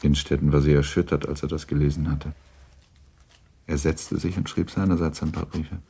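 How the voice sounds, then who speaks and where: A man narrating a literary radio drama in a sober, measured way. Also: rumbling low, flat delivery, vocal fry, warm tone, slight background noise.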